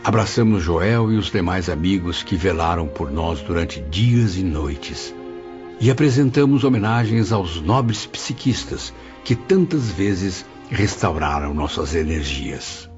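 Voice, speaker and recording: A sorrowful male spirit played by a man recounts his memories in a deep voice. The manner is solemn and literary, over prominent music, in a somewhat dull audiobook recording. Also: lively intonation, measured pace. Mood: solemn recollection. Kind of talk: dramatised audiobook narration.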